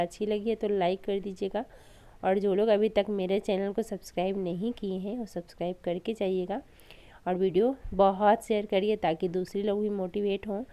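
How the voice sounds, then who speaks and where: A young woman speaks hoarsely through a sore throat, offering earnest, encouraging advice on studying. She talks at a steady mid pitch, with frequent restarts, and the recording is close and dry with no room sound. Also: dry recording. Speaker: vlogger studying for exams. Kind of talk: casual motivational talk to camera.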